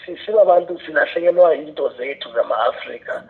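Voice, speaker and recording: A man's voice: on the high side, fast. A South African man talking casually as an interview guest, switching between two languages as he goes. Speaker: interview guest, radio drama writer and filmmaker. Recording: narrow phone-like radio sound.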